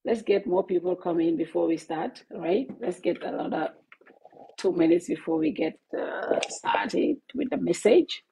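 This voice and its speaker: A woman with a low, husky voice hosts a live stream for her online network from her office, halting often with fillers and restarts. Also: expressive, dark tone, rough recording. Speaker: host of an online news network. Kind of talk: casual live-stream address to followers. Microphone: Bluetooth headset.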